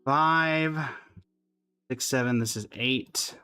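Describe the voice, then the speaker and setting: A man's voice: mid-range voice, takes it slowly, pushed and bright, soft background music. A man chatting casually to viewers as he hosts a live gaming stream. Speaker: livestreamer.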